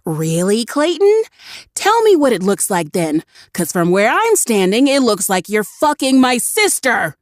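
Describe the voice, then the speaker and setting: A woman's voice: fairly high pitch, sing-song, measured pace, no room sound, clean studio sound, voice pushed up. A woman doing a shrieking, furious betrayed wife. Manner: shouting, sharp and scornful. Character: furious betrayed woman screaming at her cheating husband.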